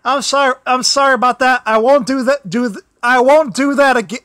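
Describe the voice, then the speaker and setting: A man hosting a casual livestream, his voice tired and strained, apologizing often, stumbling over repeated words and pushing his voice louder. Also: very high voice, a little fast, no echo, studio quality. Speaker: livestream host. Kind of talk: casual wrestling commentary livestream. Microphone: built-in device mic, no external microphone.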